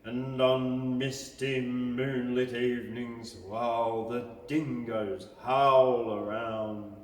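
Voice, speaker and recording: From some way off in a hall with long reverb, a man recites a bush ballad. He takes his time over the verse, delivering it slowly and with emphasis like a storyteller. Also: mid-range voice, flat delivery. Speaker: poetry reader. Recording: echoey hall, distant mic.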